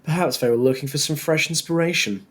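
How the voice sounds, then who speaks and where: A woman's voice: sits low, fast, pitched below the performer's own voice. A woman voicing a spiteful male rival spellcaster in a fantasy story, goading and sarcastic.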